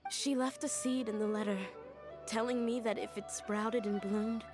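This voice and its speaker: A woman's voice: on the high side, fairly even intonation, normal speed, lightly acted. An actress playing an earnest, hesitant young woman grieving a teacher, in a video game. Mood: wistful, puzzled. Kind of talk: English video game voice acting. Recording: music behind the voice. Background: background music.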